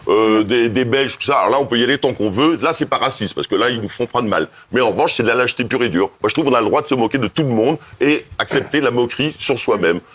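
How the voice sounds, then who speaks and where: A man's voice: mid-range voice, quick pace, few pauses, fairly bright tone. A man arguing on a TV panel, emphatic, blunt and argumentative. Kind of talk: heated TV panel debate.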